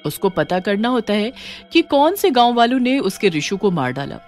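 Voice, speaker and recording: A woman's voice: mid-range voice, sing-song, normal speed. Woman narrating a horror story over music. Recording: music under the voice.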